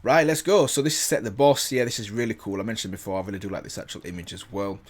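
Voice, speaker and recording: A man's voice: lowish voice, quick pace, breathy edge. A man hosting a reaction video, reading a story aloud in a casual way with slips and self-corrections, remarking on it as he goes.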